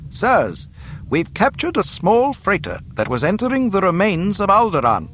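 A man's voice: high-pitched, huge pitch swings, moderate pace, a bit bright, slight background noise, voice pushed up, exaggerated voice. A man playing an urgent, formal male military officer making clipped reports in an audiobook. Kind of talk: audiobook character acting.

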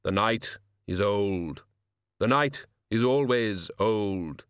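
Guy doing a grave supernatural master for an audiobook, really slow n formal, ponderous, earnest, dry studio sound. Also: on the low side, lively intonation, a bit bright, clean tone, no echo.

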